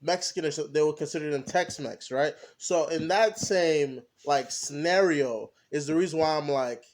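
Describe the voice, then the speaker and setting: A young man co-hosting a podcast, talking casually and haltingly, often stopping to search for his words. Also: fairly high pitch, darkish tone. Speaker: podcast co-host. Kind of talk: casual discussion.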